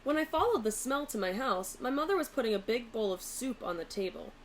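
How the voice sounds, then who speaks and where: A woman narrating a children's storybook aloud in an expressive, deliberate way. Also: a little high, a little hiss or hum. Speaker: teacher reading a picture book aloud.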